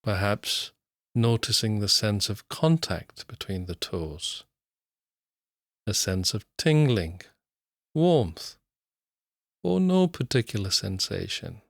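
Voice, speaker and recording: Male mindfulness teacher, lowish voice, dry close sound; calm, slow guided meditation delivery. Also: gravelly, no echo. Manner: slow, calm, soothing, with long pauses. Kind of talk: guided body scan meditation.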